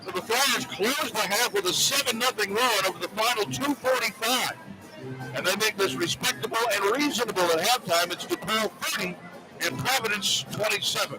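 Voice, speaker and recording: A man's voice: high-pitched, rough recording. A man calling live basketball play-by-play as a radio announcer.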